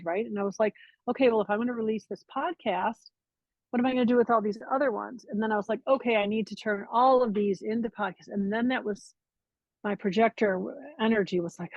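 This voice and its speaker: A woman's voice: mid-range voice, dry recording. A woman telling a rambling story about starting her podcast, chatty and full of restarts. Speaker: podcaster in group call. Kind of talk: casual storytelling. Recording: dull dry lo-fi sound.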